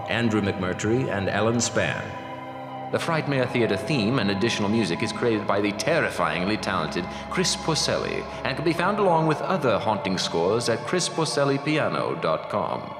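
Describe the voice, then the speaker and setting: A man announcing scripted credits for a horror podcast in radio-announcer style. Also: fairly low pitch. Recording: echoey hall-like reverb under music.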